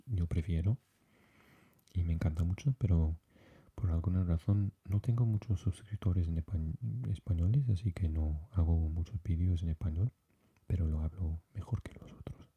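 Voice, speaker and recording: A man with a Swansea Welsh accent, chatting softly with live viewers and often dropping into an unvoiced whisper. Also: husky, muffled recording. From Wales. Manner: mixes unvoiced whispering with low, deep speaking. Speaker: ASMR live streamer reading chat. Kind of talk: soft-spoken live chat with viewers.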